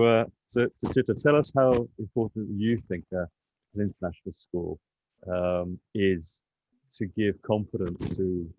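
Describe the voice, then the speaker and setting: A man moderating a webinar panel, friendly, with halting restarts. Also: fairly low pitch, darkish tone, dry recording. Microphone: video call. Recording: dry, dull lo-fi call audio.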